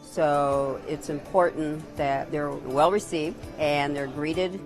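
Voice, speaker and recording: Very low-voiced woman, sincere airport greeter. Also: fairly even intonation, takes it slowly, music in the background. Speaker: customs ambassador. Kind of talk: interview soundbite.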